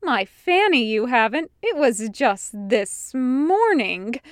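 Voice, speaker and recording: A woman narrator acts out a female rogue in a storybook tale. She is flirtatious and brash, with a smooth, unhurried voice. Her delivery is teasing and full of innuendo. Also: fairly high pitch, expressive, a little mellow, no echo, studio quality. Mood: mock outrage. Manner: suggestive, playfully emphatic.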